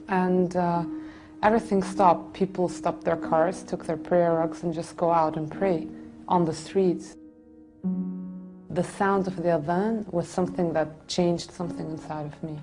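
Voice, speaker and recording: A woman in her twenties from Germany giving an earnest, reflective personal testimony in unscripted speech. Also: fairly low pitch. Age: 20s. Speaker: religious convert telling her story.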